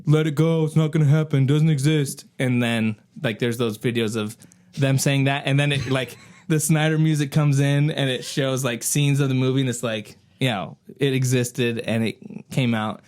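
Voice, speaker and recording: A man's voice: mid-range voice, fairly even intonation, normal speed, darkish tone. Insistent man debating on a podcast. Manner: insistent, earnest fan arguing a point. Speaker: podcast co-host.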